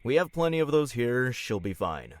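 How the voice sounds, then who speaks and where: A man's voice: medium pitch, sing-song, moderate pace, no room sound, natural voice. A man playing a blunt, laid-back teenage gamer son in an audio drama, talking in offhand slang. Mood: dismissive.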